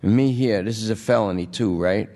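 A man's voice: lowish voice, a little fast, warm tone, voice pushed up, close to an everyday voice. A man voicing a jittery, wheedling male junkie under arrest who pleads and rambles. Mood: agitated.

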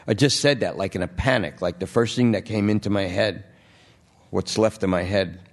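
A man's voice: lowish voice, normal speed. A man voicing a jittery, wheedling male junkie under arrest who pleads and rambles. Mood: pleading.

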